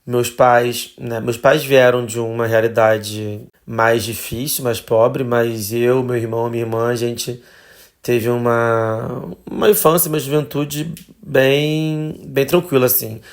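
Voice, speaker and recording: A man in his thirties with a Carioca accent, telling his life story as a podcast guest in a relaxed, conversational way. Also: medium pitch, unhurried, creaky. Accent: Carioca Portuguese. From Rio de Janeiro, Brazil. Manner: relaxed, conversational, with fillers and trailing phrases. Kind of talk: casual personal storytelling.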